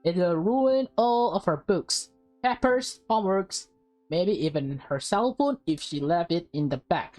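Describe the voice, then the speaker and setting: A woman's voice: fairly low pitch, lively intonation, a little slow, a little mellow, soft background music. A woman voicing a cheeky, scheming teenage schoolgirl in a visual novel, defiant and dramatic. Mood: smug.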